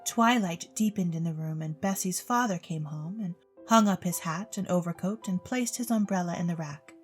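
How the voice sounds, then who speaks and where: A woman reading a story as a theatrical narrator, with expressive, precise literary delivery. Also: fairly low pitch, normal speed. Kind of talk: theatrical scripted storybook narration for an audio drama. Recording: music bed under the voice. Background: music.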